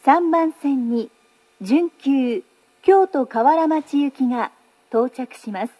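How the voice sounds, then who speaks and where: Woman, station announcer, high voice, unhurried pace, formal measured delivery, recorded platform announcement. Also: mellow. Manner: formal, measured, carefully enunciated.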